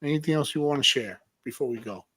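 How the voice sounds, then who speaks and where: A man's voice: mid-range voice, fast, sharp tone, slightly rough. A man hosting a casual interview show, emphatic, repeating his points and checking in with listeners. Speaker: show host.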